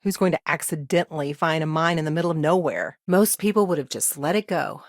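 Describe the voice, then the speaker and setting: An actress voicing a middle-aged, principled and determined lawyer in a video game, with a dry, wry, matter-of-fact way of talking. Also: female voice, sits low, expressive, fairly quick, dry recording. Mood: wry, resigned, then measured, serious. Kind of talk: video game character dialogue.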